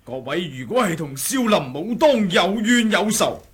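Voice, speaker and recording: An actor playing a brash, mocking kung fu fighter in a Cantonese radio drama, husky and theatrical. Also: male voice, high voice, big pitch swings, measured pace, full character voice. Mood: indignant. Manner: theatrical, taunting, with cackling flourishes. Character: brash mocking martial-arts fighter of the Ming sect.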